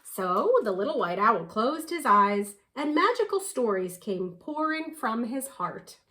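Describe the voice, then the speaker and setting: Slow, animated woman reading a picture book. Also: a little high. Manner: animated, unhurried, dramatic emphasis. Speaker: children's story reader. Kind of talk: expressive picture-book read-aloud.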